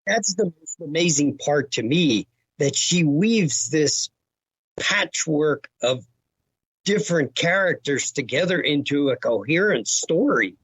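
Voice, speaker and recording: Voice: medium pitch, expressive, takes it slowly, a bit bright, slightly rough, no echo, poor audio. A person speaking casually in a conversational interview.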